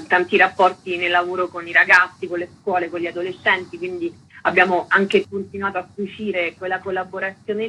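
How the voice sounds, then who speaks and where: A woman's voice: mid-range voice, bright, forceful tone. A woman talking spontaneously as an interviewed theatre artist on the radio. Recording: clearly noisy background.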